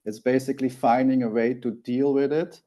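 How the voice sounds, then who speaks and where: A man in his forties from Belgium, a native Dutch speaker, telling his personal story as an interview guest in an earnest, halting way with frequent restarts. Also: medium pitch, fairly even intonation, mellow. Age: 40s.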